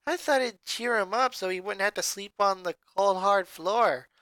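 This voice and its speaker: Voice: medium pitch, moderate pace, sharp tone, no echo, voice pushed up, lightly acted. A fan reader voicing a bubbly, airheaded blonde teenage girl with valley-girl talk. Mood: gushing.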